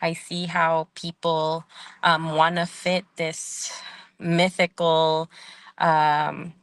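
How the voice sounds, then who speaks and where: A woman who is a community organizer and professor, speaking as a podcast guest, thoughtful and measured, often trailing off with "um" and asking "right?". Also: sits low, little pitch movement, smooth, dry recording. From Salvadoran family, living in the United States. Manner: thoughtful, frequent "um" and "right?" tags. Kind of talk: podcast interview.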